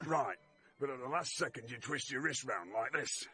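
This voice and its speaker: Weary battered fairground boxer. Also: male voice, mid-range voice, normal speed, bright, forceful tone, slightly rough, soft background music, exaggerated voice. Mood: friendly, weary. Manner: plain folksy talk, long-suffering. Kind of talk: vintage radio play acting.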